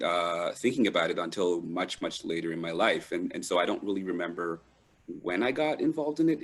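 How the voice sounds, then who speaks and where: A middle-aged man speaking reflectively as an interview guest, pausing often to find his words. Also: low voice, fairly bright tone. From Trinidad and Toronto, Canada. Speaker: panel guest. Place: live online video call.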